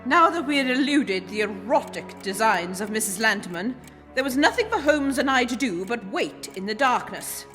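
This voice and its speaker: Bumbling gentleman companion female actor, earnest, swooping intonation, radio play with hall reverb. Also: a little high, expressive, normal speed. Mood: solemn narrating. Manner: polite, fretful, old-fashioned gentlemanly. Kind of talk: comic radio play acting and narration. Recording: hall reverb, music behind.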